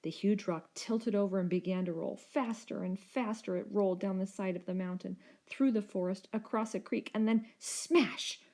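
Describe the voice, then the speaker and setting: A woman storyteller narrating a children's story in an expressive, scripted style. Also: medium pitch, moderate pace, hardly any pauses.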